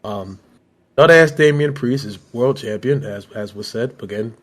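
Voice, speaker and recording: Hoarse man rambling in casual chat. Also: mid-range voice, normal speed. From Philadelphia. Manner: rambling, repeats words, says "you know what I'm saying". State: hoarse from illness. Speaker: podcast co-host.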